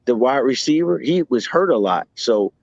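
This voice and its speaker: A man co-hosting a podcast, chatting casually about football, talking in quick bursts and repeating himself. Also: medium pitch, gravelly, dry recording, lo-fi sound. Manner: animated, talks in bursts with repeats. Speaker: podcast co-host. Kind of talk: casual sports chat.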